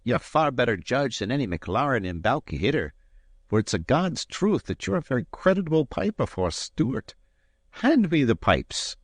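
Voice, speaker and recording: A man reading aloud and voicing a proud Highland piper, coolly courteous and needling. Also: very animated, normal speed, slightly rough, no echo, studio quality. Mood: condescending.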